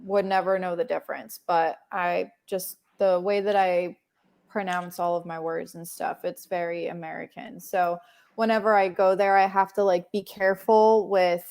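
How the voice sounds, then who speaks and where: A woman's voice: on the low side, flat delivery, normal speed, gravelly, darkish tone. A young woman hosting a casual livestream chat, rambling with many fillers and laughing often. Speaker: livestream host.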